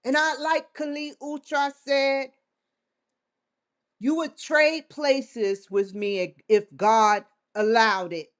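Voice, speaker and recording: A woman's voice: high-pitched, steady tone, slow. A woman vlogger giving a defiant, confrontational monologue straight to the camera, swearing often. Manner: defiant, confrontational, with frequent profanity. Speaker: vlogger hosting her own show.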